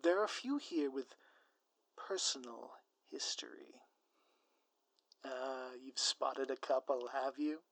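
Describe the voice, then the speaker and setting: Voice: mid-range voice, slow pace, vocal fry, long pauses, a bit bright, no room sound, full character voice. A performer voicing a scheming, smug ex-supervillain spouse in a scripted audio roleplay, with a theatrical, sardonic, grandiloquent manner. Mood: smug, teasing. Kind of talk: scripted audio roleplay monologue addressed to listener.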